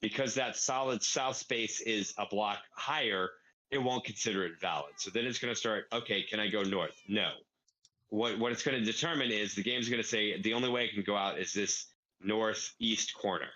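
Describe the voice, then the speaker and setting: A man's voice: fairly low pitch, moderate pace, pushed and bright, rough recording. A man explaining a game build casually, step by step, thinking aloud as he plays. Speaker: player explaining a farm build. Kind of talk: casual gaming tutorial.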